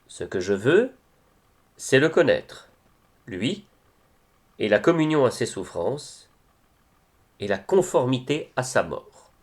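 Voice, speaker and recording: A man reciting devotional poetry in a solemn style, with measured, expressive phrasing and pauses. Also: medium pitch.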